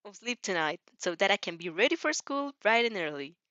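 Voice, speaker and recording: A woman plays a teenage vampire girl in a fan audio drama. She is cheeky and eager, with a bubbly, excitable delivery. The sound is dry. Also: medium pitch, fairly quick, dry recording, dull audio. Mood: excited, cheerful.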